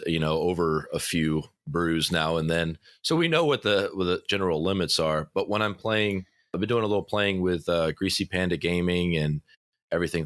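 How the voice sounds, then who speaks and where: A man who runs a podcast game, talking in a relaxed way with many fillers on a panel. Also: low-pitched, no room sound, studio quality. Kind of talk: casual panel discussion.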